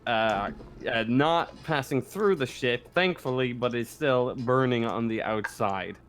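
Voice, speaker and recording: A man running a tabletop game as game master, narrating casually in a halting, stammering way with frequent backtracking. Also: mid-range voice, a bit bright, smooth.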